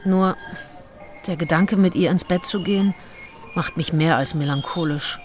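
Actress as candid, willful diarist over music. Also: female voice, on the low side, moderate pace, darkish tone, lightly acted. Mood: frank, melancholic. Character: Anne Lister, candid, passionate, willful Englishwoman reading her diary. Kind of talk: radio play, intimate diary monologue. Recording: studio radio play with music behind.

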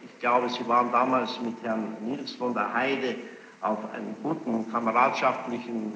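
A man with a mid-pitched voice puts formal questions to a witness, choosing his phrases in a measured, halting way. The old tape is muffled and noisy, ringing with room echo. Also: steady tone, pushed and bright. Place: reverberant room, taped interrogation.

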